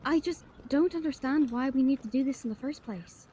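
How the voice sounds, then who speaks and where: A woman's voice: high-pitched, fairly quick, dark tone, a little hiss or hum, natural voice. A woman playing an anxious, doubting young granddaughter in an audio drama, with a questioning manner. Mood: worried. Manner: worried, questioning. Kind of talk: cinematic audio roleplay acting.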